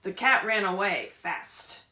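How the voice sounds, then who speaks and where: A woman reading and chatting to young children as a story time leader, animated and often prompting them with questions. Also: medium pitch, takes it slowly, roomy sound. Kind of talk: read-aloud picture book storytelling for young children. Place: indoors at home.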